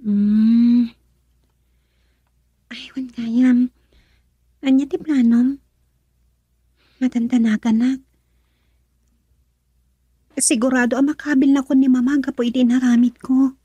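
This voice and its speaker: A woman's voice: high voice, steady tone, takes it slowly, long pauses, warm tone, husky, no room sound, highs cut off, heavily acted. A young woman playing a tender, anxious girl in love in an Ilocano radio drama. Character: tender, anxious young sweetheart afraid of her mother.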